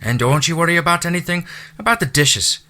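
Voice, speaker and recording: A chatty middle-aged Irish housekeeper with a thick, lilting brogue, motherly and busy. Her voice sits well above a low male register and rings bright, as a man does her voice in a storybook reading. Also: expressive, brisk. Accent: Irish (put on).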